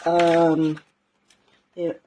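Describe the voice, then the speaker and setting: A woman teaching a live art journaling session in a casual, rambling way, with many ums and a slightly croaky voice. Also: fairly low pitch, completely flat delivery, very slow, dark tone, slight background noise. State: croaky, clearing her throat. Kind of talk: casual live craft demonstration.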